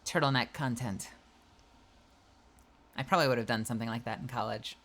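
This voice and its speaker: A woman's voice: a bass voice, fairly quick, fairly bright tone. A woman with a nasal voice hosting her live stream, chatting casually and greeting viewers as she reads the chat. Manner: chatty and loose, frequent swearing and laughs. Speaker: livestream host.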